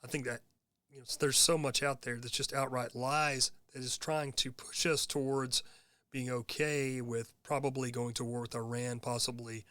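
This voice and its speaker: A hoarse man talks alone into the mic as host of his own show, earnest and rambling, with plenty of fillers. His voice sits at an average pitch and is recorded very dry, with no room sound. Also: level tone, normal speed, bright, forceful tone, no echo. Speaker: podcast host. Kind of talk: casual monologue.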